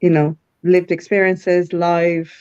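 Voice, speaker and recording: A woman's voice: fairly low pitch, little pitch movement, slow, darkish tone, clear, resonant tone, no room sound. Middle-aged woman, CEO guest on a live video call, thoughtful and full of ums, dull dry audio. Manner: thoughtful, many fillers and restarts. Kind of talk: live online interview.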